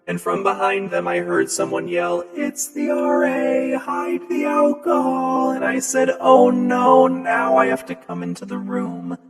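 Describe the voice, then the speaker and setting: A man telling a casual personal story through a pitch-shifting musical voice effect. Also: expressive, measured pace, creaky, hardly any pauses, echoey room, long reverb tail, loud music behind the voice.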